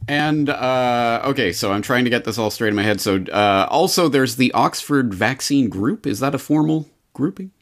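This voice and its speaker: A man's voice: medium pitch, expressive, moderate pace, few pauses. A man hosting a casual interview, talking in a wry, sceptical manner. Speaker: interviewer, host.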